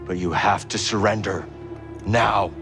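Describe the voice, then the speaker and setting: An actor playing a steady, resolute rebel hero in a video game, firm and earnest. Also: male voice, fairly low pitch, unhurried, vocal fry, over music. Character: steady, resolute heroic rebel offering mercy. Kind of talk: dramatic video game cutscene acting.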